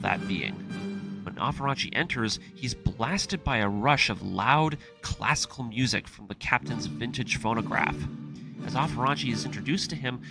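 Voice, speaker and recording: A man narrates a scripted story recap with an animated, widely varied delivery. His voice sits at a medium pitch. Music plays clearly behind him throughout. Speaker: video essay narrator.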